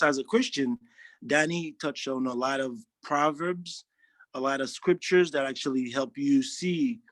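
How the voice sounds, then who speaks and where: A man's voice: mid-range voice, fairly bright tone, dry recording. A man, speaking earnestly in a testimonial interview and often restarting his sentences. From Haiti. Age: 40s. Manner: earnest, halting, frequent restarts. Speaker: interviewee, social worker.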